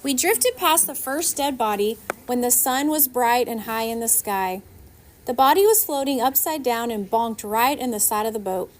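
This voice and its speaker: A woman narrating a children's novel aloud in an expressive storytelling style. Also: on the high side, normal speed. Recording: faint background noise.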